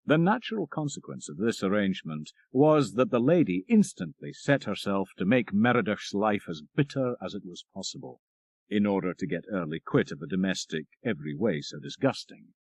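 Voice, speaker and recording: A man narrating a classic supernatural tale in a measured, expressive storytelling manner, with dramatic emphasis. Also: fairly low pitch, vocal fry, no echo. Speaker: audiobook narrator.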